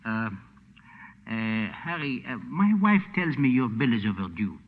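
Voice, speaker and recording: A worried, polite French hotel keeper in a forties radio play, mid-pitched, hesitant and pleading. The old recording is dull and rings with long reverberation. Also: male voice, sing-song, a little slow. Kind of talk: 1940s radio drama acting.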